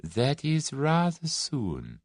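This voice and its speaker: A man voicing a sinister Russian ringleader who speaks softly, slowly and sibilantly. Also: mid-range voice, wildly swooping pitch, dry recording, studio quality, voice pushed up, exaggerated voice. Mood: soft menace. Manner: soft, sibilant, slow.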